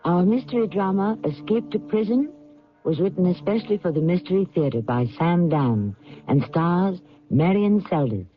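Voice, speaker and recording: A man hosting a radio drama, narrating a script in a theatrical, deliberate, portentous way. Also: high voice, expressive, faint music behind. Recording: dull lo-fi old radio recording.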